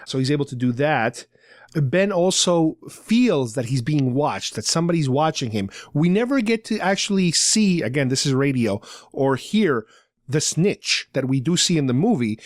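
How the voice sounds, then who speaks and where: A man's voice: medium pitch, no echo, studio quality. A man hosting a podcast, casually recapping in a conversational way with loose paraphrases of dialogue. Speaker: podcast host. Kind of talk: casual fan discussion, recapping a radio drama.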